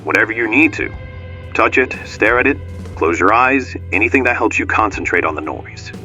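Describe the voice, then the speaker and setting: A man's voice: fairly low pitch, expressive, moderate pace, pushed and bright, faint music behind. A man playing a middle-aged doctor who supervises a test, speaking in a formal, businesslike, instructive way in an audiobook. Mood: brisk, instructive. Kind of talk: audiobook character acting.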